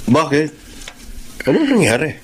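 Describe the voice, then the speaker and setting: An actor playing a generous, friendly well-off car owner in a Filipino radio drama, talking in a genial, chatty way. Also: male voice, on the high side, very animated, a little slow. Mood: concerned. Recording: faintly noisy radio drama recording.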